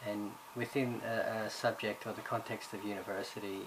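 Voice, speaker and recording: A man's voice: lowish voice, flat delivery, moderate pace. Hesitant man introducing a university subject. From Ireland, raised in Australia. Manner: hesitant, many fillers and restarts. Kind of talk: recorded course introduction. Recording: background noise.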